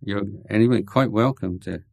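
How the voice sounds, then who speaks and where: A man giving an unscripted talk and answering questions from his audience, halting often with fillers and restarts, frank and mildly wry. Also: on the low side, gravelly, a little mellow, no room sound.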